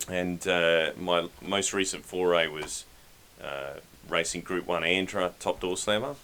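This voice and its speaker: A man's voice: low voice, steady tone, measured pace, pushed and bright, slight background noise. A man co-hosting a casual motoring podcast, laid-back and self-deprecating. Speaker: podcast co-host.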